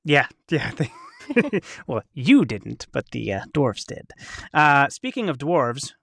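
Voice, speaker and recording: Man hosting with very animated intonation. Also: mid-range voice, takes it slowly, gravelly. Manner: playful joking, nerdy references. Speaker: host. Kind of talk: casual chat. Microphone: close studio mic on a video call.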